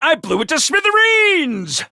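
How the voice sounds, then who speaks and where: A man's voice: very high voice, huge pitch swings, unhurried, sharp tone, no echo, clean studio sound, exaggerated voice. A man voicing a manic, mischievous male mascot commander in a game, talking in a hyper, theatrical and gleeful way. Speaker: game character voice lines. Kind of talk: game voice acting.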